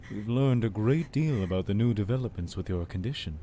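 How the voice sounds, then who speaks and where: A man playing a manipulative, falsely tender cult lord in an audio drama, speaking in deliberate, condescending, formal phrases. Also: fairly low pitch, lively intonation, dark tone, slight background noise, close to an everyday voice.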